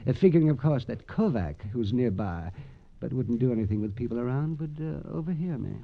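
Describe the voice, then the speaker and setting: A frightened, self-justifying businessman in an old radio thriller. His voice is fairly high and jumps around in pitch, with jittery hesitations, on a muffled vintage recording. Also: animated, fairly quick, vocal fry, a little hiss or hum. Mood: anxious, explaining. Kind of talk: 1940s radio crime drama acting.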